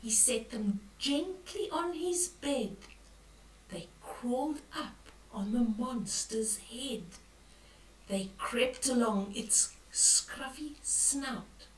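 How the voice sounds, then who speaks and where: An older woman reading a picture book aloud to children, expressive and dramatic, with hushed whispers and exclamations as she comments on the pictures. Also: on the high side, extremely slow. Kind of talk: bedtime read-aloud with asides on the pictures. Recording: roomy echo, distant from the mic.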